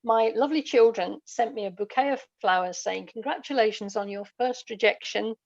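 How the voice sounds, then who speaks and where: A woman's voice: a little high, fairly quick, gravelly, dry recording, highs cut off. A middle-aged woman guest, a writer and performer, telling stories conversationally in an interview. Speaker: guest, audio dramatist and performer.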